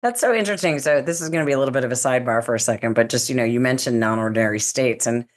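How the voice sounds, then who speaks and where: A woman's voice: very deep voice, rapid-fire. A woman hosting an interview, asking chatty, curious questions with many fillers. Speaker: interview host.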